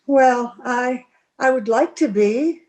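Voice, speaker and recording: Young gentlewoman for radio play, played by a woman: very high voice, unhurried pace, prim, earnest, defiant. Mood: shy, smitten. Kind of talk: old-time radio drama acting.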